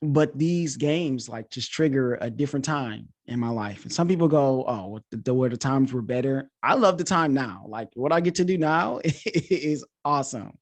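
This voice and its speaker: A man's voice: mid-range voice, expressive, moderate pace, few pauses. A man hosting a podcast in a casual, informal chat, talking with enthusiasm like a coach. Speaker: podcast host; coach and teacher.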